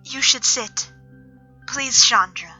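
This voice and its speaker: An actress playing a quiet, concerned female elf in an audio drama, gentle and reserved in manner. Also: a little high, slow, lo-fi sound, faint music behind, exaggerated voice.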